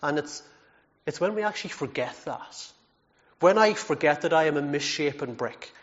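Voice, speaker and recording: A man's voice: a little high, muffled recording. A man preaching a sermon in an earnest, emphatic way, with self-deprecating asides and frequent repeated words.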